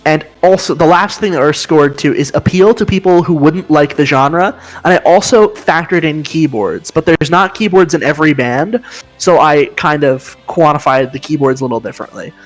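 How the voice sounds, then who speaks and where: High-voiced man explaining with enthusiasm. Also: normal speed, soft background music, rough recording. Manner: enthusiastic, explains with sung riff sounds. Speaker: podcast co-host. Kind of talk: casual chat.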